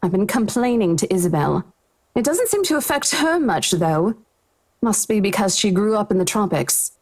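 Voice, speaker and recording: A gracious businesswoman hosting guests. Her voice sits at a medium pitch, with a polite, chatty manner suited to a visual novel game. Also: lively intonation, normal speed, a little mellow. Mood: relieved, chatty. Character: gracious professional hostess selling a mansion.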